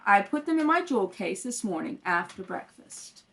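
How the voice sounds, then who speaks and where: A woman's voice: medium pitch, very animated, moderate pace, creaky, pitched below the performer's own voice. A woman playing a spoiled, indignant young society woman in an old-time radio comedy. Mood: defensive. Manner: indignant, insistent.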